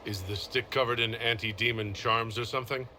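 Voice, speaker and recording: A man playing a steady, concerned heroic leader in a video game, speaking in a measured, questioning way. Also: mid-range voice, slight background noise. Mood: curious. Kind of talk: video game cutscene dialogue.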